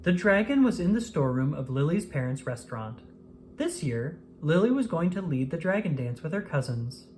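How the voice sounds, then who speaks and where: A woman narrating a children's picture book aloud in an expressive manner, paced for young listeners. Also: sits low, slight background noise. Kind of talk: scripted storybook narration.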